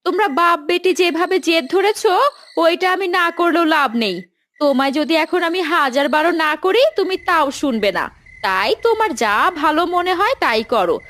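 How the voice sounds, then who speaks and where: A woman's voice: very high voice, lively intonation, normal speed, fairly bright tone. A woman playing a practical, admonishing village wife and mother in a cartoon family drama. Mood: exasperated, scolding.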